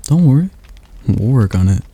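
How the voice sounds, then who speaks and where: A man voicing a patient male demon tutor who teaches magic in a calm, gently commanding ASMR roleplay. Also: medium pitch, moderate pace, husky. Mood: coaxing.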